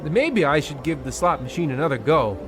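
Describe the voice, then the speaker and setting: A man playing a pompous, excitable husband in a mock old-time radio comedy, theatrical and stammering when flustered. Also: on the high side, normal speed, over music. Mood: flustered, grandiose. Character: Percival, pompous, excitable husband with a gambling weakness.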